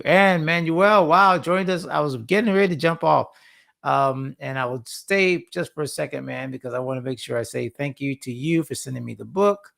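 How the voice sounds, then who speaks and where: Male livestream host, mid pitch, gravelly and slightly hoarse, earnest and repetitive, casual talk to camera. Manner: earnest, repeats words for emphasis, rambling asides. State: slightly hoarse after a long session. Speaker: host and founder of a faith-focused creative platform.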